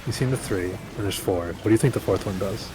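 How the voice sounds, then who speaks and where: A man's voice: lowish voice, fairly quick, a crowd in the background, rough recording. A man guiding friends through a game raid in casual, matter-of-fact chat. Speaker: experienced gamer guiding the group through a raid. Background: game music.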